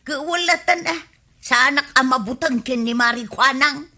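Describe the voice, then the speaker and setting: Sharp-tongued village housewife. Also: female voice, high-pitched, normal speed. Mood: indignant. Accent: Ilocano. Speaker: actress in a comedy drama. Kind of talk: broad Ilocano radio comedy drama acting.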